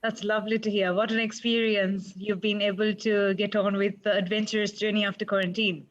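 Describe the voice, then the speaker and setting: A woman's voice: mid-range voice, flat delivery, fairly quick. Young woman, webinar moderator, encouraging, muffled video-call sound. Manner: encouraging, asks follow-up questions. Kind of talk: moderating an online panel.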